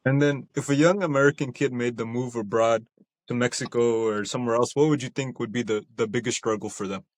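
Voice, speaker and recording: A male podcast host with a mid-range voice who fires off quick questions with little pause. He sounds dry and close to the mic, with no room sound. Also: medium pitch, steady tone, dry recording. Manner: brief backchannel agreement, quick questions. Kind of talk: interviewing.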